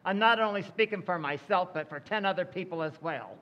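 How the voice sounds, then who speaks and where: A man's voice: high voice, a little fast, bright, forceful tone, lo-fi sound. A man playing a stern, indignant neighbour. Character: angry neighbour threatening a lawsuit. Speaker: actor in a radio play.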